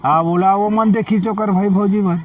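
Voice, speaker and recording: A man's voice: sits high, steady tone, normal speed, a little hiss or hum. A man with a Chhattisgarhi accent tells an oral folk story informally, in short phrases that leave room for a listener to respond. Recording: dull lo-fi, distant microphone.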